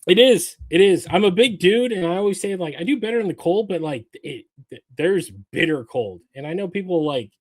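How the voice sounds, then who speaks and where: Animated nasal man hosting a podcast. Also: high voice, fairly quick, no room sound. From California. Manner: animated, showman-style delivery. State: stuffy from a recent head cold. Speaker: podcast host. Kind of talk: casual podcast chat.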